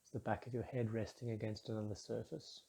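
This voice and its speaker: A man leading a guided meditation in a soft, husky voice, calm and soothing, with measured instructions and gently falling phrase endings. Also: lowish voice, a little hiss or hum.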